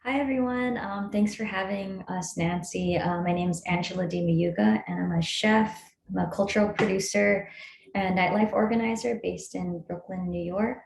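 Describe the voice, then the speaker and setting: Gravelly woman in an echoey room. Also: mid-range voice, normal speed. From Bay Area. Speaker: chef. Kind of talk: self-introduction. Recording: roomy with faint noise.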